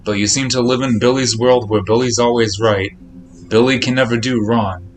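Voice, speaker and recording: A man's voice: mid-range voice, fairly even intonation, normal speed. A man chatting casually on a live game stream, speaking in a blunt, admonishing, repetitive way. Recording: noticeable background noise.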